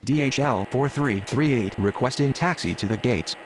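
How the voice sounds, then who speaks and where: Mid-pitched man making aviation radio calls. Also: normal speed, slight background noise. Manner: clipped standard phraseology. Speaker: air traffic radio voice.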